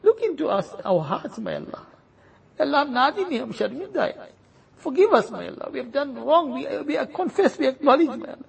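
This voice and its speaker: Very raspy high-voiced man pleading in prayer. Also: very animated, fairly quick. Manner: pleading, each plea closed with a soft trailing refrain. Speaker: imam leading a congregation in supplication. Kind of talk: impassioned supplication prayer.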